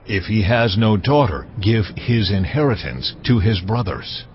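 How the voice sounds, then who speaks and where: An actor playing God as a solemn lawgiver, measured and commanding, in a dramatised scripture reading. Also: male voice, lowish voice, expressive, slight background noise. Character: God, solemn male lawgiver speaking to Moses. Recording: slightly muffled, music underneath. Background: background music.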